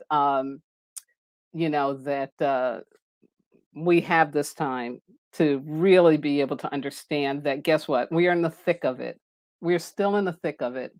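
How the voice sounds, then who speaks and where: Low-voiced chatty woman astrologer. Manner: chatty, animated, laughs often and goes high when excited; loud voice, mic set down on the table. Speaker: astrologer hosting a live stream. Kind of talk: casual live-stream talk. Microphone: microphone on a table.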